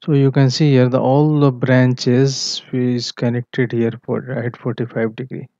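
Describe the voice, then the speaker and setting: Slow, flat-voiced male engineering tutor. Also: mid-range voice, no room sound. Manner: halting, many fillers and self-corrections. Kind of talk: screen-share drawing walkthrough. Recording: dull, dry, lo-fi voice-over.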